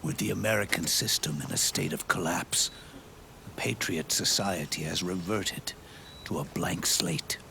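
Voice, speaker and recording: Gravelly, deep old soldier. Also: male voice, bright, forceful tone, slight background noise, heavily acted. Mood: grave, bitter. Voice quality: gravelly, breathy rasp. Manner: grave, deliberate, with weighty pauses. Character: old, world-weary soldier, solemn, reflective and regretful. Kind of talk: dramatic video game monologue. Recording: music under the voice. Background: cinematic music.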